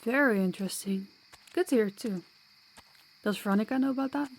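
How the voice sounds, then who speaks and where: A woman's voice: a little high, brisk, creaky, low-quality recording. A woman playing a sociable, kind vampire guide in an audio roleplay, chatty and reassuring with a playful streak. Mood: curious, wry.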